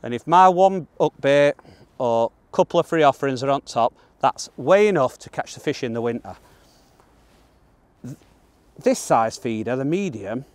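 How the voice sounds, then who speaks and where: A man's voice: mid-range voice, a little mellow, dry recording. Northern English man giving angling tips. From northern England. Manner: plain-spoken, colloquial, matter-of-fact. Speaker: angler presenting a fishing guide. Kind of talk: casual instructional commentary while fishing. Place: outdoors on the bank of a pond.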